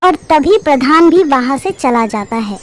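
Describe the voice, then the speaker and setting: A woman narrating an animated moral story in a scripted storytelling style. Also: sits high, moderate pace, slight background noise.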